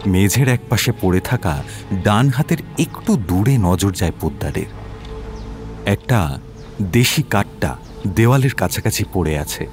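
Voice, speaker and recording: A man's voice: lowish voice, lively intonation, measured pace, long pauses, over music. A man narrating a scripted detective story as its narrator.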